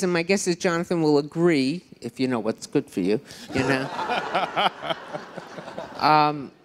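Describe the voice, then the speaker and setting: A woman's voice: low voice, slight background noise. Older woman historian in a reverberant hall. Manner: chatty, digressive, wry asides. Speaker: author and historian on a panel. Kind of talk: public panel discussion. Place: large lecture hall on stage. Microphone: handheld microphone.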